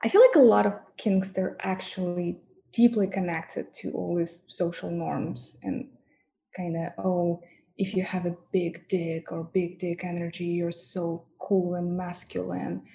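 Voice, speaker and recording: A woman's voice: fairly low pitch, steady tone, a little slow, creaky, warm tone, a little hiss or hum. A woman with a Russian accent, a guest talking frankly and with lots of laughter about her work, her first language Russian. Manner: frank, laughs often, mock-degrading asides. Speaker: guest, professional dominatrix. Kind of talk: candid interview chat.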